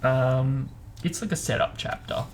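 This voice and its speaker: A man's voice: medium pitch, unhurried, slight background noise. A man chatting casually as host of a book discussion, talking in an informal, meandering way as he explains and speculates.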